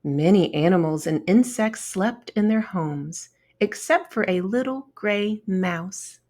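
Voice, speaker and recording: A woman narrates a children's storybook in a mid-range voice. She takes her time and shapes each phrase with animated, expressive intonation. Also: medium pitch, slow pace.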